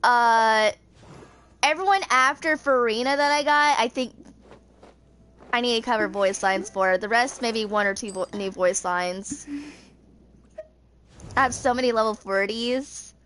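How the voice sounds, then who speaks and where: A woman's voice: on the high side, measured pace, long pauses, fairly bright tone, slight background noise. A woman in her twenties doing casual, rambling game commentary as she thinks aloud. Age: 20s. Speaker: video game streamer.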